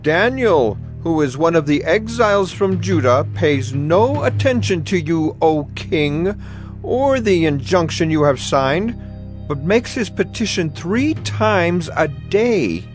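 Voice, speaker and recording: A man plays a scheming royal official in a scripture drama. His voice sits very high for a man, and he declaims formally and insistently over faint music. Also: lively intonation, takes it slowly. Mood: insistent, accusing. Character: scheming male court official petitioning his king. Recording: music bed behind the voice.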